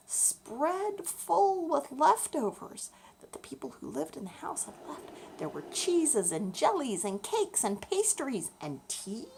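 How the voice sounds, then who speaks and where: Animated woman storyteller, unhurried pace. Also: a little high, vocal fry, a little hiss or hum. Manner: expressive, deliberate, explaining to young listeners. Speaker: children's storyteller. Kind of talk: bedtime storytelling for children.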